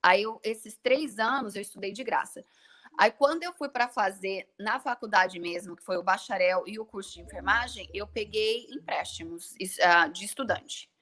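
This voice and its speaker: Casual guest: Brazilian nurse in her thirties, crisp bright voice, thinking aloud with restarts. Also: medium pitch, normal speed. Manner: hesitant, many restarts while explaining. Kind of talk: casual live-stream chat.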